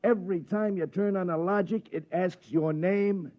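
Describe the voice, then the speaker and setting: An actor playing an impatient, bullying police sergeant, exasperated, in an old-time radio drama. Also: male voice, high voice, steady tone, moderate pace, lightly acted. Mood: irritated, sceptical. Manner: blustering, exasperated. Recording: dull vintage radio drama recording.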